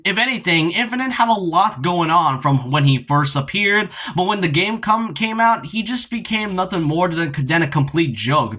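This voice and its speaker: Male video essayist, higher-pitched and very animated; opinionated, emphatic, informal, trips over words. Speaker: video game commentary YouTuber.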